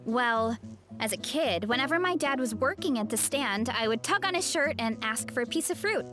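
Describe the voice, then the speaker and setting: A woman voices a sweet young farm girl from a video game, high and lively in pitch. She is easygoing and hearty, chatting cheerfully with music behind her. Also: normal speed, lightly acted. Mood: fond, reminiscing. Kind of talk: video game character dialogue.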